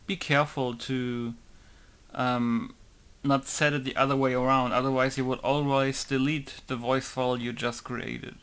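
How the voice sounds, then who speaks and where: A man's voice: medium pitch, little pitch movement, measured pace, fairly bright tone, clear, resonant tone. A man casually talking through a game modding tutorial in an informal, rambling way, swearing now and then. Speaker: game modder.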